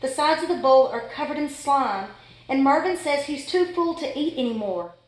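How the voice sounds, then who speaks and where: A woman's voice: high voice, expressive, moderate pace, voice pushed up. A woman voicing an exasperated, fussy pet goldfish in a dramatic children's storybook read-aloud. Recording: echoey room.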